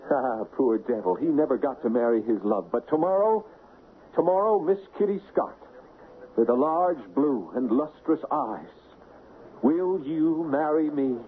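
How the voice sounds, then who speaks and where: An actor playing an earnest, ardent young fiancé in a radio drama. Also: male voice, mid-range voice, lively intonation, a little slow, natural voice. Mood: relieved, ardent. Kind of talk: 1970s radio drama acting. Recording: thin phone-like 1970s radio, faint noise.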